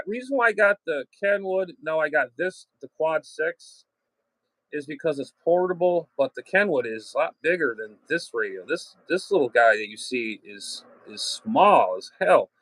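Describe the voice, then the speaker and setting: A man's voice: a little high, animated, moderate pace, a bit bright, no room sound, rough recording. A man chatting casually as a radio hobbyist, plain-spoken and salty, often trailing off and circling back. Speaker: amateur radio hobbyist and channel host. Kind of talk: casual rambling chat.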